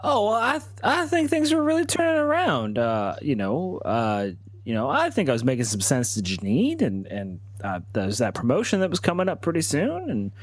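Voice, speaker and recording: A man's voice: fairly high pitch, huge pitch swings, moderate pace, few pauses, no echo. A man playing a tabletop roleplaying game, improvising in character in a flustered, stammering way.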